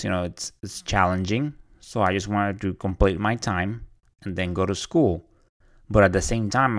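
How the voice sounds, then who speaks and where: A man from Puerto Rico hosting a podcast, talking conversationally with frequent fillers and restarts. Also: on the low side. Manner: conversational, many "you know" fillers and restarts. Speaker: podcast host. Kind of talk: casual solo podcast introduction.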